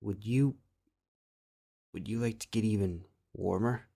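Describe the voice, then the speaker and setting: A man's voice: lowish voice, measured pace, creaky, warm tone, husky, exaggerated voice. A man playing an awkward, flustered male dragon slayer who stammers and hesitates in an audio story. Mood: nervous.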